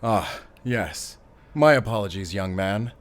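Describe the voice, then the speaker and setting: Casting: actor as genial older-generation dad, audio drama, polite and jovial, unhurried with lively swings. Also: male voice, fairly low pitch. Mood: genial, concerned. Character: courteous, genial father of a grown son.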